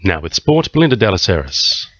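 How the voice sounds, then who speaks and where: A man's voice: sits low, sing-song, normal speed, a bit bright, dry recording, lo-fi sound. An Australian man reading a scripted radio news bulletin in a studio.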